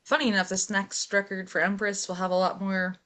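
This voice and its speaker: A young adult woman, a metal singer, talking openly as an interview guest in a relaxed, chatty way with frequent fillers. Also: medium pitch, brisk. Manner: relaxed, open storytelling with fillers. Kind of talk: casual interview chat.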